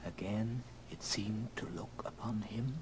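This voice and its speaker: A man's voice: lowish voice, steady tone, a little slow, darkish tone, a little hiss or hum, close to an everyday voice, rough recording. A man narrating an old radio ghost drama as a grave storyteller, solemn and dramatic. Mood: solemn, eerie. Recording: old record with music underneath.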